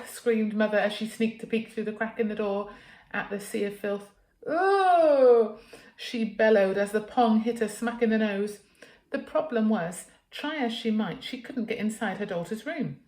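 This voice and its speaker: A woman's voice: medium pitch, a little mellow. A woman with a British accent reading a children's story expressively, as a teacher would to pupils. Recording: echoey room.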